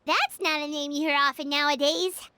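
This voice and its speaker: A woman voicing a small, excitable fairy companion in a video game who talks about herself in the third person. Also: high-pitched, moderate pace, background noise, natural voice. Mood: curious. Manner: refers to herself in the third person, exclaims often. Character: tiny floating fairy companion, excitable and chatty. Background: soft game music.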